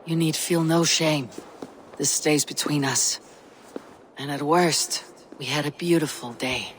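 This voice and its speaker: An actress playing a stoic, loyal female Viking raider with a measured, plain-spoken manner in a video game. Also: deep voice, fairly even intonation, slight background noise. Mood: gentle, sincere. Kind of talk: video game cutscene acting.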